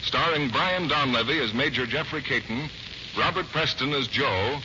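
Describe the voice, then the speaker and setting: A man's voice: medium pitch, normal speed. A man announcing and selling in a polished, persuasive 1940s radio style. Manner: polished, persuasive sales delivery. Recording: muffled, noisy 1940s radio broadcast.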